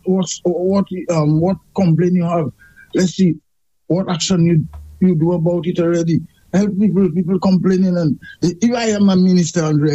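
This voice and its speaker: Saint lucian guy calling in, ranting and repeating himself, kinda high mellow voice, dull lo-fi sound. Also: no echo. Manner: emphatic, repetitive, complaining. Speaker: radio caller. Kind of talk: call-in radio chat.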